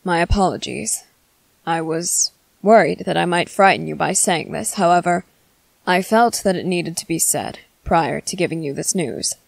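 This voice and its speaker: A woman voicing a composed, menacing male vampire commander in an ASMR roleplay, speaking formally and deliberately with dramatic pauses. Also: lowish voice, animated, gravelly, dry recording. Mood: calm, ominous. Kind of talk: ASMR roleplay monologue to the listener.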